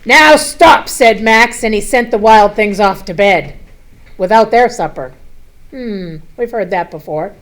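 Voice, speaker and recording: Unhurried woman reading to young children. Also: mid-range voice. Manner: expressive, addressing children with questions and simple explanations. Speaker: school superintendent reading a picture book to children. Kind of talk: children's read-aloud with chatty asides to young listeners.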